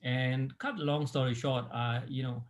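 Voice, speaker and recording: Middle-aged man telling his story, relaxed. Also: medium pitch, measured pace. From Malaysia. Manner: relaxed, many fillers and restarts. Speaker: sports medicine physician, guest. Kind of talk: conversational interview storytelling.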